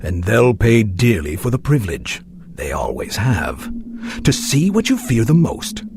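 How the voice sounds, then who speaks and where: An actor playing a sinister, silky magician's mechanic in an audio drama, speaking in a formal, ominous, insinuating manner. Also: male voice, mid-range voice, big pitch swings, measured pace, noisy background. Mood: ominous relish.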